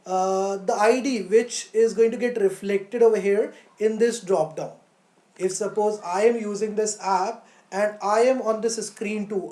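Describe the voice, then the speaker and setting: A woman's voice: medium pitch, mellow, echoey room. A woman teaching a live online coding lesson step by step, posing questions and answering them herself. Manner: instructional, poses questions then answers them, checks with "right?".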